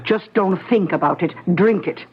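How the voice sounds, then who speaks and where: An actress playing a long-suffering, fondly scolding wife with a coaxing, gently exasperated manner in a 1970s radio drama. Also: female voice, mid-range voice, normal speed, slight background noise, lightly acted. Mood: soothing, concerned. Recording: dull 1970s radio broadcast recording.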